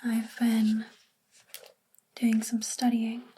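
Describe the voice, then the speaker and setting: A woman playing a sweet, bookish witch librarian in a soft spoken ASMR roleplay, gentle and soothing in a breathy, whispery voice. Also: fairly high pitch, monotone, extremely slow, background noise. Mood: kind, reassuring.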